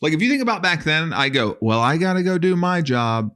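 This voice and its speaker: A man with a loud voice, co-hosting a comedy podcast in casual chat, slipping into playful character bits. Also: fairly high pitch, very animated, brisk, smooth. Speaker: podcast co-host.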